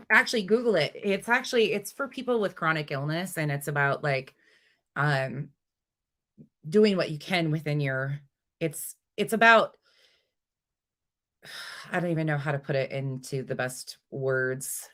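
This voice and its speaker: Low-pitched woman, bright voice. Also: unhurried, pauses often. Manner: chatty, informal, giggly, jumps between replies. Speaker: livestream host. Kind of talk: casual live chat, reading and answering viewer comments.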